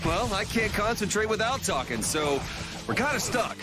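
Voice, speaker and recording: A man's voice: high voice, very animated, normal speed. A man playing a flippant young swordsman in an anime game dub, teasing and quipping in a casual drawl over music. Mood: playful teasing. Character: cocky, laid-back young swordsman who teases and quips. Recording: loud game music behind.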